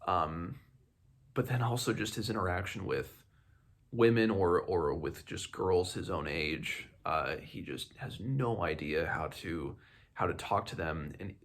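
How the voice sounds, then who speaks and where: A man hosting a book read-along, talking casually and haltingly as he thinks aloud. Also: deep voice, fairly bright tone.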